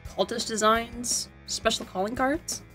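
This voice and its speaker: A woman's voice: fairly high pitch, steady tone, a little slow, fairly bright tone, faint music behind. A woman co-hosting a scripted commentary on a cartoon, in conversational banter. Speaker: co-host.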